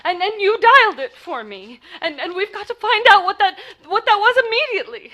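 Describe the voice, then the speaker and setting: A woman's voice: extremely high pitch, lively intonation, a little fast, sharp tone, full character voice. An actress playing a frantic, imperious married woman in a 1940s style radio play, stammering and indignant. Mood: indignant, insistent. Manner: stammering, breathless, insistent, indignant.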